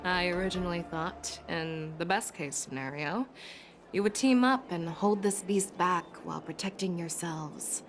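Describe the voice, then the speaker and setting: A female general in a video game, her voice on the low side. She is composed and gracious, with formal, measured authority, and music plays clearly behind her. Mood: calm, approving.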